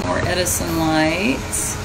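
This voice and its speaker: A woman's voice: on the low side, fairly even intonation, slow, vocal fry, background noise. A woman filming and chattily explaining features as she walks through a tiny home. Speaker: tiny home builder co-hosting tour, filming. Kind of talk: casual walkthrough tour. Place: inside a small empty tiny house.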